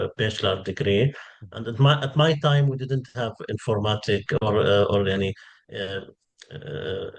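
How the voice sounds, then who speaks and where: Low-voiced man with Syrian Arabic accent. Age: 40s. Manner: repeats words while searching for phrasing. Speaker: interview guest, engineering expert. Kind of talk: explanatory interview talk.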